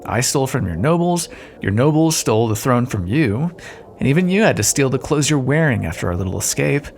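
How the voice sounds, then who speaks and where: Casting: male actor as a sardonic fantasy rogue for audio roleplay, lively intonation, gravelly edge, noisy ambient backdrop. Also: mid-range voice, a little fast. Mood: dry, nonchalant. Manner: sardonic, teasing, conversational. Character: roguish male thief escorting a deposed queen, wry but decent. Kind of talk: audio roleplay acting, addressed to the listener.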